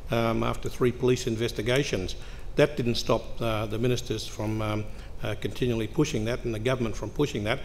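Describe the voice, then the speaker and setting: A man speaking earnestly on a conference panel, halting often with fillers. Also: mid-range voice, slight background noise. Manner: hesitant, many fillers and restarts, earnest.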